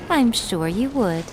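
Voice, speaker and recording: A woman's voice: on the high side, heavily acted. A woman voicing a sly, playful young woman in a fantasy video game, with a teasing drawl.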